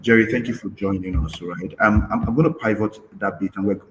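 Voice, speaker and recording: A man moderating a panel discussion, who keeps checking in with a quick "right?" as he talks. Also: on the low side, noisy background.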